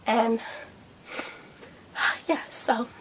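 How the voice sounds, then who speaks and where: A young woman vlogging a breakfast mukbang, hoarse and losing her voice from a cold, rambling with lots of fillers. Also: a little high, fairly even intonation, very slow, noisy background. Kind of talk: casual mukbang vlog chat. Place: indoors at home under a hot light.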